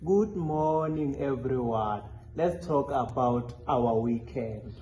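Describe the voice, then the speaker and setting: A man's voice: medium pitch, slow, mellow, close to an everyday voice. A man playing a friendly puppet who struggles to understand others in a children's puppet show. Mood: friendly, guiding. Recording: dull, echoey room recording.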